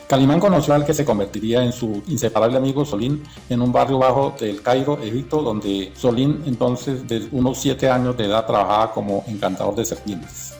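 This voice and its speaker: A man's voice: medium pitch, fairly quick, hardly any pauses, a little mellow, faint music behind. A man narrating from a script in an informational documentary style.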